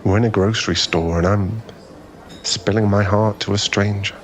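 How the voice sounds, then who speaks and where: A man playing a flustered, smitten young man in an ASMR boyfriend roleplay, halting and stammering as he rambles nervously to a crush. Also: lowish voice, steady tone, a little hiss or hum, close to an everyday voice. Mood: awkward, hopeful.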